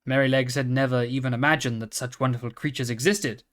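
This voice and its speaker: A man reading a children's storybook aloud in a calm, steady manner. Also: medium pitch, fast. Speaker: reader.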